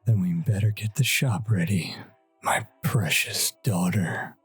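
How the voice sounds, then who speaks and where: A man playing a sinister, doting doll shop owner in a horror audio drama, courtly, hushed and unhurried. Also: low voice, husky, no room sound, faint music behind, exaggerated voice.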